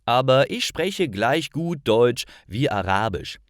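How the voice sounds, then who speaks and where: A man voicing a calm, matter-of-fact young woman from Cologne. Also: mid-range voice, slow, no echo, clean studio sound, voice pushed up.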